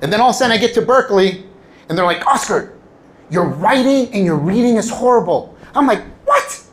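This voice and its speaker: A middle-aged man, a native Spanish speaker from Southern California, giving a personal motivational talk to an audience in animated storytelling style, acting out quoted lines. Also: high-pitched, a little fast. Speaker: public speaker.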